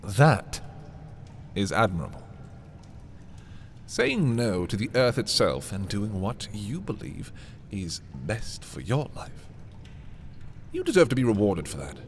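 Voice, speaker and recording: A haughty young prince of dark fantasy audio drama, acted by a man, speaks in a fairly low voice with very animated, swinging intonation. He is possessive and scheming, delivering his words theatrically over a faint background noise. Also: slow pace, pauses often, full character voice. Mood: persuasive, intense. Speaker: voice performer. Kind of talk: dramatic roleplay audio, ASMR fantasy monologue.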